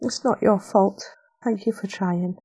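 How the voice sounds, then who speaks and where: A woman's voice: medium pitch, steady tone, measured pace, warm tone, slightly rough, poor audio. Woman voicing a desperate young mill girl. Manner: pleading, urgent. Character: desperate young working woman searching for brothers.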